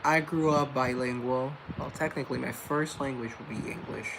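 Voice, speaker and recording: A man's voice: medium pitch, fairly even intonation, normal speed, gravelly, background noise. A man who grew up in Colombia, chatting casually and playfully as host, joking around. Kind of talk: casual comedic chat.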